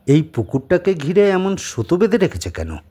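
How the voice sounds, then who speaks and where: A man's voice: high voice, huge pitch swings, moderate pace, mellow, husky, voice pushed up, exaggerated voice. A man voicing a polite, curious young visitor from the city in an audio drama. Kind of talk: Bengali audio story acting.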